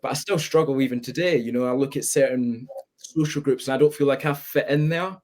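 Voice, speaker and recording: A man's voice: medium pitch, a little fast, darkish tone. A nineteen-year-old man from Spain with a Scottish accent, speaking candidly and reflectively as a guest on a webinar video call. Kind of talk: webinar conversation.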